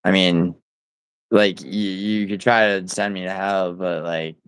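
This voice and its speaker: A man's voice: deep voice, flat delivery, unhurried, fairly bright tone, clean tone, no room sound. A man from New City, chatting on a livestream in a rambling, profane way with lots of fillers and restarts. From New York City. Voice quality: nasal. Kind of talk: casual livestream chat, reading viewer comments.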